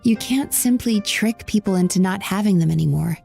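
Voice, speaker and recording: A woman voicing a young, calm and composed bathhouse owner over faint game music. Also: mid-range voice, moderate pace, gravelly, natural voice. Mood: reasoning.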